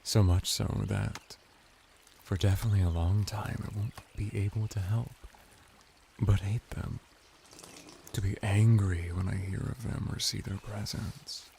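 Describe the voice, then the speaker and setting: A man's voice: on the low side, flat delivery, creaky, mellow, slight background noise. A man voicing a flirty, courteous merman bath attendant in an intimate, formally worded ASMR roleplay. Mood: gentle, earnest. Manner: slow, intimate, formal wording with lingering pauses.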